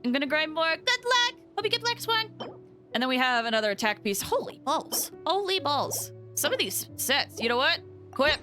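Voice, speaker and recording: A woman game streamer chatting casually and excitably, thinking aloud with frequent exclamations as she plays. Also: sits high, normal speed, soft background music. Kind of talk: casual livestream gaming chat.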